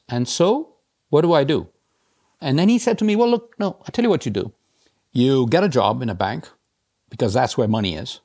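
A man's voice: mid-range voice, a little mellow. A man who grew up across South America and Europe, talking casually and a little hesitantly as an interview guest. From grew up across South America and Europe, born in Brazil. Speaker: interview guest, head of quantitative investing. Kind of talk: casual conversational storytelling.

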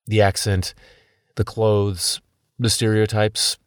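Deep-voiced male podcast narrator. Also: level tone, clear, resonant tone, no echo, studio quality. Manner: personal, measured storytelling. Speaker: podcast host. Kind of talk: scripted documentary narration.

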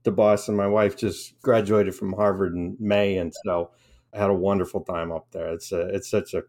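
A man's voice: low-pitched, little pitch movement, normal speed, darkish tone. A middle-aged man co-hosting a podcast, a friendly, upbeat interviewer who laughs easily. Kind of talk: casual podcast chat.

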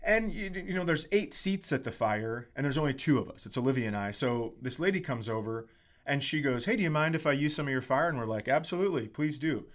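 A man's voice: mid-range voice, animated, fairly quick. A man hosting a comedy podcast, telling casual stories with profane, exaggerated ranting.

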